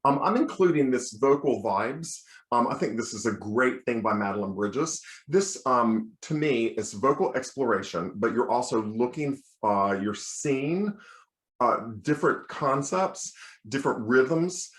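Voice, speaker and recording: Male American lecturer, children's choir teacher, mid-pitched speaking voice, encouraging instructional delivery, audibly echoey recording. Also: animated, a little slow. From United States. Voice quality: bass singing voice. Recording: roomy, echoing sound.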